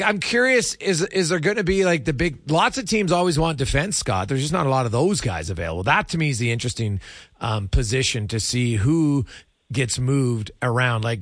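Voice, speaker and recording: A man's voice: medium pitch, expressive, a little fast, fairly bright tone, no echo, studio quality. A man hosting a radio sports show, chatting casually and thinking aloud as he goes.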